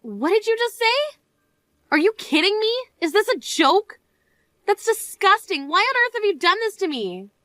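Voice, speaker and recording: A woman voicing a composed young bride in an animated story, speaking in a firm, cold, measured manner. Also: fairly high pitch, no echo, lightly acted. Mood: shocked.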